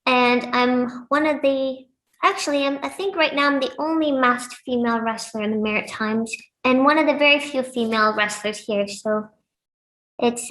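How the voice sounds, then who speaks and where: A woman's voice: a little high, a bit bright. Giggly young woman in an echoey room. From Lebanon. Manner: giggly, upbeat, laughs often. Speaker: guest, professional wrestler. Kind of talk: casual interview chat.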